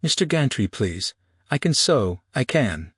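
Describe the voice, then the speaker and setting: A man's voice: mid-range voice, big pitch swings, a little slow, a bit bright, husky, no echo, studio quality, pitched above the performer's own voice, heavily acted. A man voicing a frightened, pleading young widow in a timid, whimpering manner for an audiobook.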